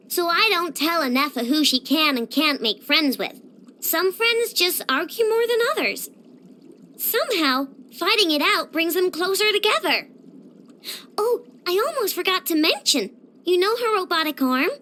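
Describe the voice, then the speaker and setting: A woman's voice: very high voice, bright, forceful tone, heavily acted. A woman voicing a cheerful, proud young girl inventor in a video game, with a bubbly, boastful, childlike delivery. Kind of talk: scripted video game character voice lines.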